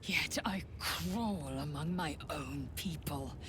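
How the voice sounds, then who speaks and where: A woman's voice: low voice, takes it slowly. An actress voicing a fierce, devout alien warrior woman in a fantasy game, with a clipped, imperious, intense delivery. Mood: anguished fury. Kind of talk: cinematic fantasy game dialogue. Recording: clear background ambient noise.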